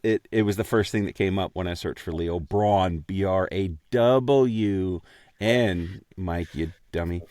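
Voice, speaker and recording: A man's voice: low-pitched, measured pace, a little mellow, no room sound, clean studio sound. A man co-hosting a podcast in casual, joking chat, rambling along with playful self-deprecation. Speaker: podcast co-host and voiceover actor.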